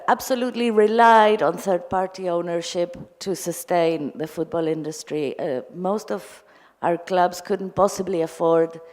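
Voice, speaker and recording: A woman's voice: on the low side, darkish tone. A woman from Argentina speaks from the audience at a conference, putting an articulate, discursive question to a panel. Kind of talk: spontaneous question and comment at a conference. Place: large indoor conference hall. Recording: echoey hall, long reverb.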